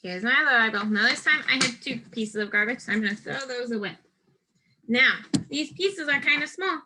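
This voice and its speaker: A woman's voice: on the high side, animated, fairly quick, very noisy. A woman teacher giving a step-by-step craft lesson to first graders, speaking directly to children in simple, patient instructions.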